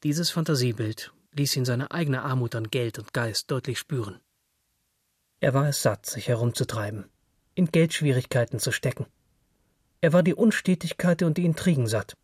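A man's voice: medium pitch, normal speed, a little mellow, husky, dry recording. Male literary narrator in a dry room.

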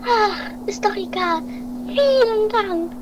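Woman playing a very shrill, plaintive climber. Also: slow, background noise. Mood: relieved, grieving. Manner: plaintive, repeating phrases. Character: distressed, pleading climber, emotional and easily exhausted. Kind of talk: amateur improvised radio play acting. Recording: homemade radio play under loud music.

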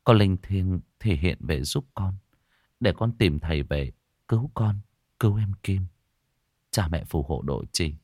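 Man as weary young man, deep, subdued, respectful, earnest, audiobook character. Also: animated, a little slow, a little mellow, no echo, studio quality, full character voice. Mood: solemn pleading.

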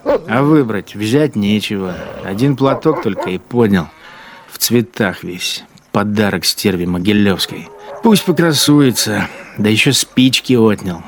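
An actor playing a bitter, spiteful wartime machine-gunner in a radio drama, grumbling with sardonic scorn. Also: male voice, fairly low pitch, lively intonation, measured pace. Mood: sardonic musing. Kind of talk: radio drama interior monologue.

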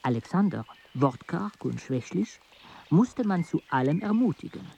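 A man narrating a radio play in a measured, even storytelling manner. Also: on the high side, creaky, a little hiss or hum. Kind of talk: scripted narration.

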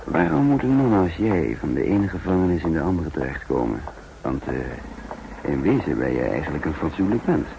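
A man playing a thoughtful double agent in measured, reflective speech for an old radio play. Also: extremely low pitch, very animated, vocal fry, mellow, lo-fi sound, a little hiss or hum, heavily acted. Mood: casual, assured. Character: thoughtful, troubled male double agent narrating his story. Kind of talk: 1960s Dutch radio play acting and narration.

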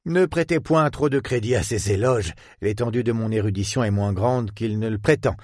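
A man's voice: lowish voice, moderate pace, dry recording, studio quality, natural voice. A man doing a jovial old professor. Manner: effusive, courteous, conspiratorial. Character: jovial, theatrical old male historian professor.

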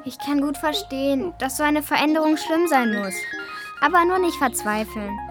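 Young schoolgirl, radio drama, played by a woman: high pitch, earnest and sympathetic, light music behind. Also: normal speed, lightly acted. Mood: concerned, eager. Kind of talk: children's radio play acting.

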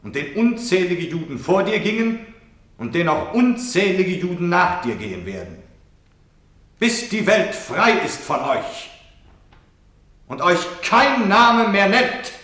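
Menacing officer, echoey and swooping. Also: male voice, high voice, sing-song, takes it slowly, fairly bright tone, full character voice. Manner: scornful, haranguing, emphatic. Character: cold, menacing male Nazi officer taunting his prisoner. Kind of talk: radio play acting. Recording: echoey, reverberant room.

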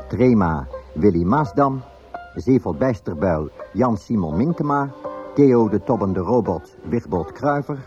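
A man's voice: lowish voice, darkish tone. A man reading scripted narration as the announcer of a radio drama. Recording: dull radio recording over music.

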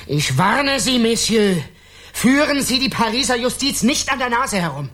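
A man's voice: extremely high pitch, very animated, normal speed, bright, forceful tone, exaggerated voice. An actor playing a harsh, scornful Parisian justice official in a German radio crime drama, curt and pompous as he interrogates. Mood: menacing, pressing.

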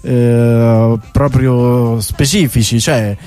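A man's voice: mid-range voice, fairly even intonation, extremely slow, mellow. A man speaking as a guest in a casual radio interview, explaining things in a rambling, hesitant, informal way with repeated words. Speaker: guest artist presenting a bike tour project. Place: radio studio. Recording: music playing behind the voice.

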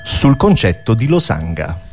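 A man's voice: on the low side, very animated, measured pace, a little mellow, breathy edge, faint music behind. A man performing absurdist scripted comedy, explaining things in a theatrical, mock-documentary manner. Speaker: comic radio performer.

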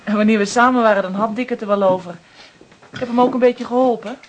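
A woman's voice: on the high side, fairly even intonation, fast, a little hiss or hum, natural voice. An actress playing a curious, sympathetic young woman in a Dutch radio drama, with a hesitant, questioning manner. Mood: hesitant, friendly.

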